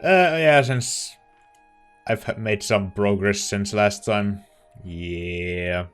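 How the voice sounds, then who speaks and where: A woman giving casual, expressive let's play commentary, reacting aloud to a game as she plays. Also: rumbling low, slow, faint music behind.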